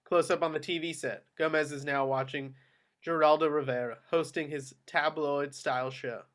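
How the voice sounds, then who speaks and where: A man's voice: a little high, fairly even intonation, moderate pace, a bit bright. A man reading screenplay action descriptions aloud as narrator in scripted narration.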